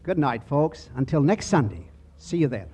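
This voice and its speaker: A man's voice: on the high side, expressive, normal speed, over loud music. A man hosting a scripted 1940s radio program, heard on a faintly noisy old broadcast. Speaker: host.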